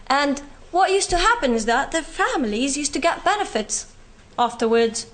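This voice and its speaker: A young woman with a Palestinian British accent, giving an earnest talk on her own experience, often pausing with fillers. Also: high-pitched, expressive. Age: young adult. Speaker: guest speaker. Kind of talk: university talk from personal experience.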